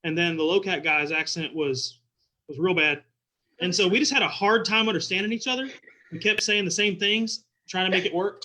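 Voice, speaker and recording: A man's voice: sits high, moderate pace, bright, forceful tone, rough recording. A man with a Texas and Oklahoma Southern accent, chatting playfully and exaggerating as an interview guest. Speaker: visiting king, interview guest. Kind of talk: casual joking chat. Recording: video call.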